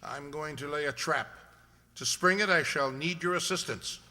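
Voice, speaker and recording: A very sharp, forceful voice from a man, slightly high, plays a gentleman detective. He is shrewd, incisive and in command, with theatrical radio-play delivery. It rings in a reverberant hall. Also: normal speed. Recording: echoey hall.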